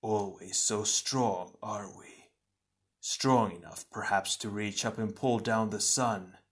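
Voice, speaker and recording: A man voicing a scornful, sinister shadow double of an earth warrior, slow and hushed, with a sneer. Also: lowish voice.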